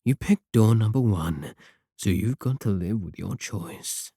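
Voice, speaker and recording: A man playing a coolly sardonic villain in an audio roleplay, unhurried, condescending and softly threatening. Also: fairly low pitch, lively intonation, gravelly, breathy edge, no echo, studio quality, full character voice. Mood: cold, menacing. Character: menacing, coolly sardonic male villain of an evil organization. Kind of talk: scripted ASMR audio roleplay.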